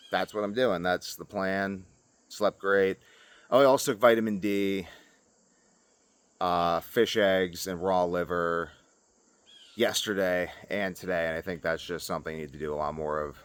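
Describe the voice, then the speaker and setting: A man's voice: sits low, a bit bright, clear, resonant tone, no room sound. A man talking casually to viewers in a loose, informal, rambling vlog style. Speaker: vlogger. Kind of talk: casual rambling fitness and lifestyle vlog talk.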